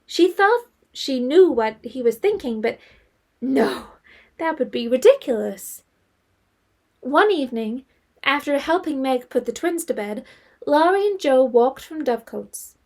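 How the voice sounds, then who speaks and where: A woman's voice: high voice, animated, a little slow, darkish tone. A woman narrating an audio drama as a scripted literary story.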